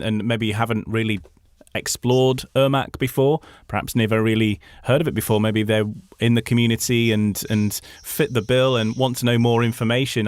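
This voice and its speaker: A man's voice: mid-range voice, no echo, studio quality. A man hosting a radio interview in a conversational style, putting questions to a guest.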